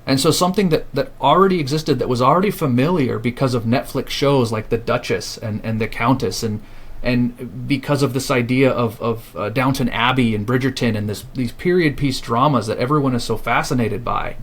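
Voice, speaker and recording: A man's voice: mid-range voice, moderate pace, a bit bright. Earnest, reflective man. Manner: earnest and reflective, often restarting phrases. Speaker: publishing house founder, podcast guest. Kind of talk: podcast interview.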